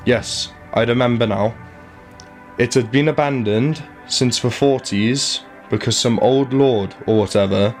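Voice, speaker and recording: An actor playing an easygoing, folksy local road worker in a radio play, speaking colloquially. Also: male voice, mid-range voice, close to an everyday voice. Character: easygoing local road worker, reminiscing about old tales.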